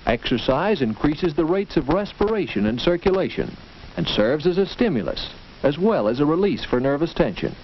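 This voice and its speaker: A man's voice: on the high side, sing-song, moderate pace, dull audio. An American man narrating a 1940s instructional film from a script, in a didactic storytelling manner. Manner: didactic, rhetorical storytelling delivery. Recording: dated 1940s film soundtrack, band-limited, noisy.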